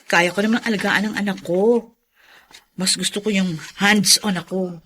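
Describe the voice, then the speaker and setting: A woman acting a devoted, cheerful young mother in a radio drama, expressive and affectionate. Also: mid-range voice, normal speed, husky. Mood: good-natured, weary.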